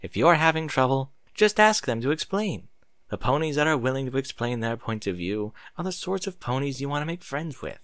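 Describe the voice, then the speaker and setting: A motherly mare of a teacher, acted out by a man for a storybook reading. The speech is measured and soothing, with a firm core and a lively, swinging melody. The recording is dry and close. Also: medium pitch, no room sound. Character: Celestia, gentle but firm motherly female pony teacher.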